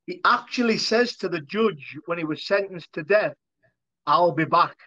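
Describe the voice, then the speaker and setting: A man's voice: sits high, animated, normal speed, sharp tone. A middle-aged British man hosting an interview podcast and putting questions to his guest over a video call. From United Kingdom. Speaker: podcast host. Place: indoor room, on a video call. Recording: dull, lo-fi video call audio.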